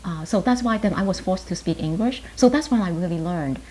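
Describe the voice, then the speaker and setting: A woman in her fifties from Hong Kong, a native Chinese speaker, reflecting on her life in an earnest, halting way in short phrases. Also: on the low side, a little mellow. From Hong Kong, later New York. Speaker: pharmacist and writer being interviewed. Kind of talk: reflective personal interview answers. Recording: hissy background noise.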